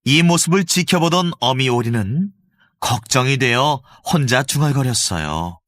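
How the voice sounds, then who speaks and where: A man narrating a children's storybook with an expressive storytelling lilt. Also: medium pitch, normal speed, no room sound, clean studio sound.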